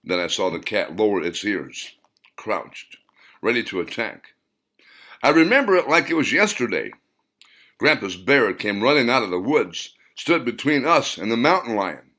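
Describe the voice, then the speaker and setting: A man's voice: fairly low pitch, sing-song, normal speed, pushed and bright, heavily acted. A man voicing an earnest male character who tells nostalgic, dramatic boyhood memories in an audiobook. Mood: earnest, suspenseful. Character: earnest male storyteller recalling boyhood summers with Grandpa.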